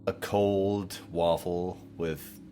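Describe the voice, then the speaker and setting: A man improvising casually as a tabletop player, deliberate and dryly comic. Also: deep voice, steady tone, dark tone, faint music behind. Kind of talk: casual improvised role-play.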